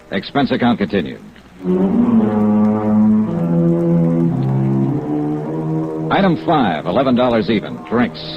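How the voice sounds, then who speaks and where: An actor playing a hard-boiled insurance investigator with a clipped, terse noir delivery in old-time radio detective style. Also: male voice, medium pitch. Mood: dry, dramatic. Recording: muffled, noisy old radio recording, rain ambience.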